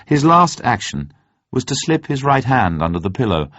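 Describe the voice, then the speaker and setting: A man reading a spy novel aloud as an audiobook narrator, in measured, deliberate phrasing. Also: medium pitch, big pitch swings, a bit bright, dry recording. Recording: dull, muffled, dry close recording.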